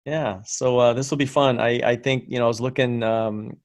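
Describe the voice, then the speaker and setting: A man hosting a podcast, introducing and questioning his guest in a friendly, halting way full of fillers. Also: mid-range voice, fairly even intonation, dry recording. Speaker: podcast host. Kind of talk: casual interview hosting.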